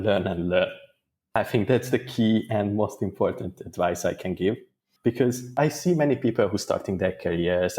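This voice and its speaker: A man answering interview questions as a guest, in a thoughtful, measured way with small restarts. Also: sits low, darkish tone. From Hungary. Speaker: guest data engineer.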